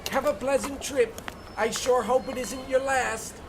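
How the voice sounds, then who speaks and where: Man doing an excitable young clerk, high-pitched, folksy, eager to please, radio comedy acting, noisy background. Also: a little slow. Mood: obliging. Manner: chirpy, bootlicking, excitable. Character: eager, folksy, fawning young male train ticket clerk. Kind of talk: old-time radio play parody acting.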